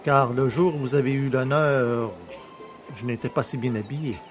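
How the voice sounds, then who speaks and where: An actor playing a cheeky, boastful poacher fresh from prison in an audio drama, familiar, mocking and self-pitying. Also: male voice, mid-range voice, steady tone, normal speed, faint music behind, natural voice. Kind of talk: French audio drama acting.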